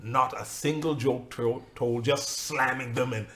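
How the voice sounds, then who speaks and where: A comedian co-hosting a casual podcast chat, talking emphatically and acting out little asides. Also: male voice, medium pitch, fairly even intonation, a little slow, fairly bright tone. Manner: emphatic, rants with acted-out asides.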